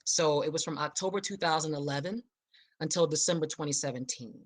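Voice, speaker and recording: The animated guest on an evening livestream, an American woman in midlife with a slightly low voice, sharing her story with emphasis and energy. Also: a little fast. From Philadelphia, United States. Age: middle-aged. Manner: high-energy, emphatic, expressive. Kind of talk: personal storytelling on a live show.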